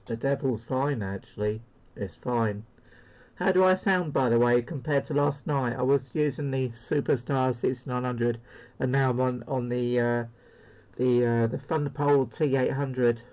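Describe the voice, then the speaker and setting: A man's voice: medium pitch, moderate pace, a little mellow. A man talks casually and without a script, as a hobbyist showing gear. He hesitates and rambles as he goes. The recording is slightly dull and lo-fi. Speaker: CB radio hobbyist presenting a demo. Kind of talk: casual unscripted product demo. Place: indoor radio room.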